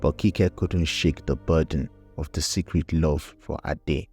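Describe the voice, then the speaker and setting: A man's voice: a bass voice, steady tone, a little slow, darkish tone, husky, dry recording, faint music behind. A man narrating a scripted folktale as the storyteller.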